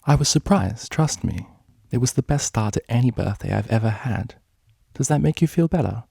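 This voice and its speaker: Man voicing a polite young mare, raised pitch. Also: expressive, moderate pace, creaky, mellow, husky, no room sound, clean studio sound, full character voice. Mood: affectionate. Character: refined young cellist mare, affectionate, anxious, polite.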